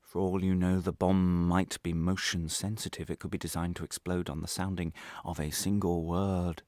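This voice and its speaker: An actor playing an embittered, vengeful former prisoner in a radio play monologue, deliberate and theatrical in his menace, swinging between hushed and agitated. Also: deep voice, little pitch movement, dry recording, clean studio sound, lightly acted. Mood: taunting, brooding.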